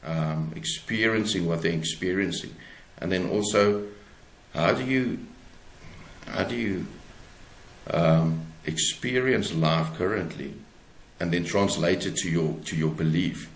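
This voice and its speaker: A South African man speaking as an interviewed author, explaining his work haltingly and searching for words. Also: low voice. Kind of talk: conversational interview, explaining own book. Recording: roomy, echoey sound.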